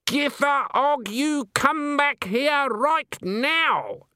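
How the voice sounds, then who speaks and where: A man's voice: fairly high pitch, animated, moderate pace, fairly bright tone, no echo, clean studio sound, pitched above the performer's own voice. A man voicing a stern, proud old village witch woman with a blunt, suspicious manner, in a storybook reading. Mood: furious.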